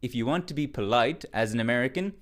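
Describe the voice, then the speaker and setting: A man delivers a quick lesson straight to the camera. His voice sits at an ordinary middle pitch, and he lectures with emphatic, mock-indignant firmness. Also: lively intonation. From Ireland. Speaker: English teacher. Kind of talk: direct-to-camera short lesson.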